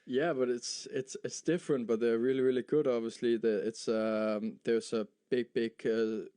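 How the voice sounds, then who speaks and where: A young Danish footballer with Danish as his first language, answering interview questions in a halting way, with many fillers and repeated words. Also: medium pitch, fairly even intonation, warm tone, smooth. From Denmark. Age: young adult. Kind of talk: post-match style interview.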